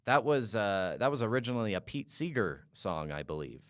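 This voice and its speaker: Low, smooth-voiced man interviewing. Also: sing-song, normal speed, dry recording, clean studio sound. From Calgary, Canada. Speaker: interviewer, podcast host. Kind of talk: casual music interview.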